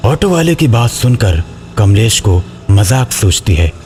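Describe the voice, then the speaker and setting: A man's voice: lowish voice, sing-song, unhurried, a little mellow, faint music behind. A man reading a scripted horror story as its narrator.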